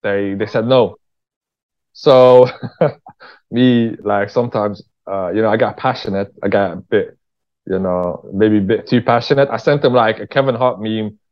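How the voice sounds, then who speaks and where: A man's voice: on the low side, steady tone, moderate pace. A British man telling stories as an interview guest in a casual, candid, slangy way. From England. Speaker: interview guest, writer-director. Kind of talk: casual conversational storytelling. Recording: dull, lo-fi voice recording.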